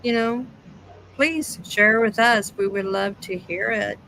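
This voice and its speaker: A woman chatting casually as a podcast host, speaking in a halting way. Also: mid-range voice, gravelly, sharp tone, slight background noise, low-quality recording. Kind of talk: casual chat, show sign-off.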